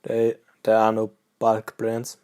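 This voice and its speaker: Mellow Austrian man, flat easygoing chat. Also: on the low side, clear, resonant tone. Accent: Austrian German. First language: German. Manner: halting, relaxed, pauses mid-sentence. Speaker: pipe-smoking vlogger. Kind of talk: casual chat to camera.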